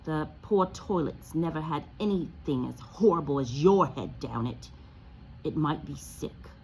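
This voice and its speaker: A quick-witted storybook boy, voiced by a woman reading aloud, answering back with dry cheek. The voice sits fairly low, and faint noise runs behind it. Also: animated, darkish tone, poor audio.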